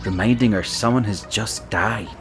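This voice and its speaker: A man's voice: on the low side, moderate pace, music in the background. A man reading scripted narration for an audio drama, describing the scenes as narrator.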